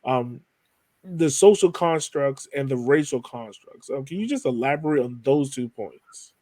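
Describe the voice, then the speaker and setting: A man's voice: mid-range voice, animated, a little slow, a little mellow, no echo. A man hosting a podcast, talking in a casual, conversational interview style. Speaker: podcast host.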